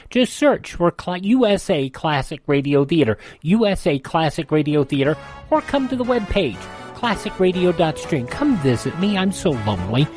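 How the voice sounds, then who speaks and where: A man's voice: high voice, no echo, clean studio sound. A man hosting a classic radio show, scripted but conversational, with folksy asides. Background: music.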